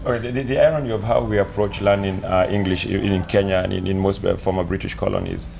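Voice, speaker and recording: A man talks quickly and eagerly, tripping over repeated words as he pushes his point. His voice is lowish. Faint hiss sits behind him. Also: steady tone, vocal fry, a little mellow. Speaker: interview guest, family member. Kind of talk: interview conversation.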